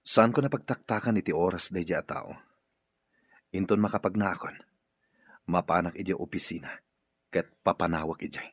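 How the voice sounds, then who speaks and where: A man's voice: low-pitched, normal speed, long pauses, breathy edge, no echo. An actor with an Ilocano Filipino accent playing a stern male boss in a radio drama. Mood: stern, resolute. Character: stern male boss, a patient relearning to walk. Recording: dull, lo-fi radio drama recording.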